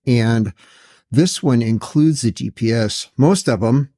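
A man's voice: medium pitch, slow pace, mellow. A middle-aged or older man giving a casual, matter-of-fact product walkthrough as a video host, with a slightly wry, plain-spoken way of talking. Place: indoor garage workshop.

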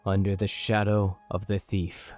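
Male part-elf adventurer played by an actor, matter-of-fact and courteous, fantasy audio drama, dry sound, unhurried delivery. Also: lowish voice, monotone, a little mellow, dry recording, faint music behind, natural voice. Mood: solemn. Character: wary, polite part-elf messenger who summons gods.

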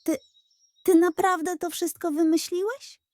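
A woman's voice: very high-pitched, steady tone, measured pace, darkish tone, no room sound, voice pushed up. A woman voicing a cunning, hot-tempered male pug in a children's tale, with an indignant, emphatic manner. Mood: stunned.

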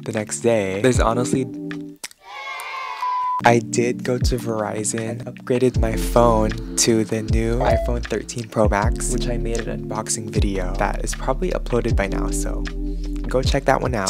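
A man's voice: medium pitch, fairly even intonation, unhurried, over loud music. Young man chatting in a casual vlog. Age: young adult. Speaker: vlogger. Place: a cafe and a restaurant.

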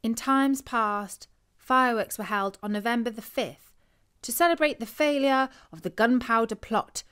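A woman's voice: fairly high pitch, moderate pace, fairly bright tone, clear, resonant tone. A woman with a British accent narrating a scripted bedtime story for children.

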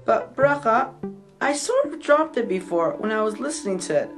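A woman's voice: on the high side, very animated, normal speed, over music. A woman playing a sheepish, apologetic little brother in a children's audio story, hesitant and childlike.

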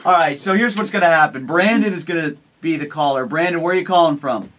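A man's voice: a little high, quick pace, fairly bright tone, muffled recording, a little hiss or hum. Upbeat man hosting a morning radio show. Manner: joking, quizmaster tone. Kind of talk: lively radio banter. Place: radio studio. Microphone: boom studio mic.

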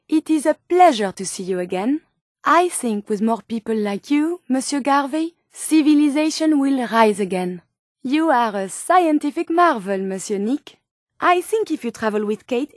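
An earnest, sensitive female robot scientist from a video game, with a French accent and a high voice. She speaks in formal, precise phrases, polite and curious. The recording is dry and close, with no room sound. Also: animated, moderate pace, a little mellow, no echo. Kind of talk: video game character dialogue.

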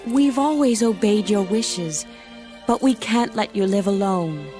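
An actress playing a devoted young daughter-in-law in an animated Bible film, earnest and gentle. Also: female voice, mid-range voice, unhurried, natural voice. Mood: earnest, loving. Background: music.

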